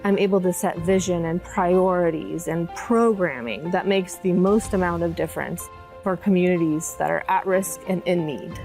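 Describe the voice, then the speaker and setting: A woman whose first language is Polish talks steadily and earnestly in a documentary-style interview. Her voice sits a little low, with soft music underneath. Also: moderate pace. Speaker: pro bono program director.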